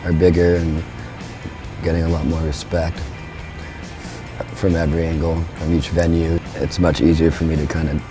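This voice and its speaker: A young man, a band's frontman, talking in a relaxed, rambling way with lots of fillers. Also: rumbling low, moderate pace, long pauses, over music. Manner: laid-back, rambling, with frequent fillers. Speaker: band frontman. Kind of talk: relaxed interview talk.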